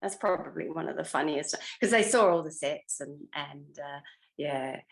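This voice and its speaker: Low-pitched older Australian woman, rambling and modest. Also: moderate pace, creaky. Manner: self-effacing, rambling, trailing off mid-thought. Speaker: interview guest, former television actress. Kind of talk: casual reminiscing interview.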